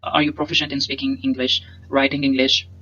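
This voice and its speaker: A young man whose first language is Punjabi, giving a webcam lesson and explaining slides in a halting way, full of fillers and repeated words. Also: mid-range voice, little pitch movement, bright, forceful tone, highs cut off, faint music behind. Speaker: instructor. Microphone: webcam in a corner inset.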